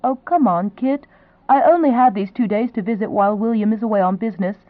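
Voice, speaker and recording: A woman's voice: medium pitch, a little fast, no room sound, voice pushed up, natural voice. A woman doing a gushing, eager young sister. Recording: tinny, phone-like sound.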